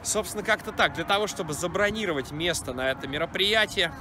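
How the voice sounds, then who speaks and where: A man in his thirties, a dating coach, pitching his training straight to camera in a brisk, persuasive, slangy way, filmed close up in an outdoor park. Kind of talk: direct-to-camera sales pitch. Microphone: camera at close range. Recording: outdoor street noise behind.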